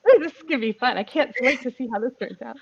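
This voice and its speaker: Woman gamer, playful in-game chat, high voice, very lively intonation, lo-fi online sound. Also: fast, vocal fry, slight background noise. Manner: expressive, playful. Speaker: player in a tabletop roleplaying game. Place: online voice call. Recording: dull lo-fi online call audio.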